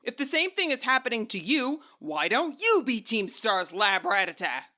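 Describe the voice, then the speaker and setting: A protective teenage boy, voiced by a woman reader, always ready for a fight. He sounds bright and forceful, with lively swings in pitch as he mocks his opponent in a dramatised story. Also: normal speed. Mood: defiant. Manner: mocking, confrontational. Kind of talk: dramatised fanfiction reading.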